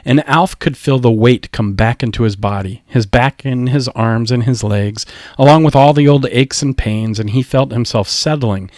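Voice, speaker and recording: Male audiobook narrator, mid-range voice, expressive scripted storytelling, close dry recording. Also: mid-range voice, normal speed, few pauses, no echo.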